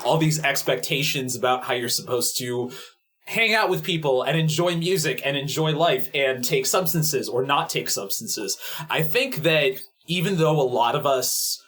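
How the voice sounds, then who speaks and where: Bright-voiced man in his twenties. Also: mid-range voice, moderate pace. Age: 20s. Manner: animated storyteller, quotes own thoughts in lively voice. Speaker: podcast guest. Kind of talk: casual panel discussion.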